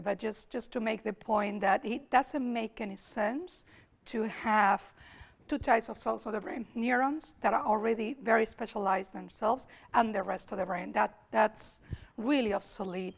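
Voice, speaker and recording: A woman with a Spanish accent, hoarse today, giving an academic lecture at a summer school, thinking aloud with restarts and repeated words. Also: medium pitch, fairly even intonation, normal speed. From Spain.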